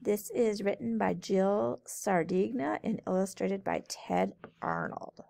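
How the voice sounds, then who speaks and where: A woman teacher reading a storybook aloud to children in a measured, sing-song way. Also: mid-range voice, sing-song, gravelly, dark tone, slight background noise. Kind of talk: storybook read-aloud narration.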